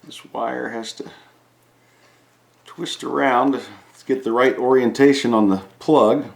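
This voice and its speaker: A man giving a casual hands-on repair walkthrough, halting as he thinks aloud while he works. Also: medium pitch, steady tone, vocal fry. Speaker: DIY repair video host. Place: indoors at a home kitchen countertop. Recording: echoey hard-surfaced room.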